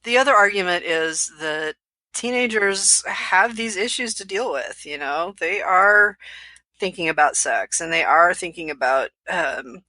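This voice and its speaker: A woman's voice: lowish voice, expressive, unhurried, bright, forceful tone, no echo. A woman co-hosting a casual book discussion podcast, explaining her views in a reflective way. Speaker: podcast co-host.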